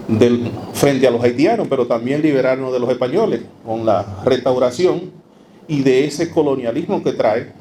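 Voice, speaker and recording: A man gives an academic talk in a lecture hall. He speaks in a steady, measured way at a middle pitch, pausing on fillers and asking rhetorical questions. A faint hiss of room ambience sits under his voice. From Dominican Republic. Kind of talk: university lecture.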